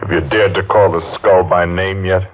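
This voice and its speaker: A muffled, hissy vintage radio thriller recording carries a vengeful masked man played by an actor, his voice extremely deep. He taunts and accuses with slow-burning, theatrical menace, a maimed survivor toying with the one he blames. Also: little pitch movement, natural voice. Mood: menacing, accusing. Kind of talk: old-time radio thriller acting. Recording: muffled, noisy old-time radio recording.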